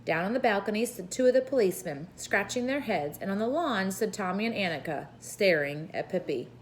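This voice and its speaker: A woman reads aloud from a children's book in an informal storytelling style. Her voice sits at an average pitch. A faint layer of noise runs behind the reading. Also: lively intonation, fairly quick. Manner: informal, with brief asides to listeners.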